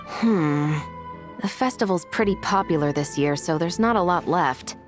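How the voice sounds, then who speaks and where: A woman's voice: on the low side, normal speed, soft background music, close to an everyday voice. A woman voicing an earnest, dutiful young musketeer officer in a video game, polite and upbeat. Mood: earnest, helpful.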